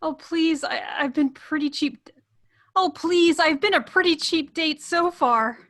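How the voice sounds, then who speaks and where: A woman acts out an elegant socialite in a script read-through. Her voice sits high, and she comes across as coy and wry, a flirt who rattles easily. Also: measured pace, a bit bright. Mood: pleading.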